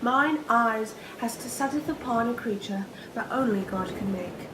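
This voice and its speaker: A woman's voice: fairly high pitch, measured pace, close to an everyday voice. A young woman performing an innocent, lovestruck maiden in an earnest, theatrical Shakespearean soliloquy. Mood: wondering. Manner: earnest, declamatory, archaic diction. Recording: echoey room with faint noise.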